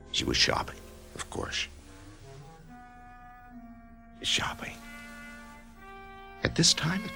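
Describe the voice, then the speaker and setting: An actor in a radio drama playing a nervous office worker who is facing a risky operation, who stammers and breaks into shouts. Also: male voice, sits low, creaky, exaggerated voice. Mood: brooding. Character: anxious male office worker facing surgery. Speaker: actor playing the lead and narrator.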